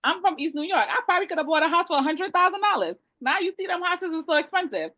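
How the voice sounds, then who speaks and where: A woman with a Jamaican accent, a realtor talking on a webinar panel over a video call in a blunt, animated, colloquial way. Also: very high-pitched, quick pace, no echo.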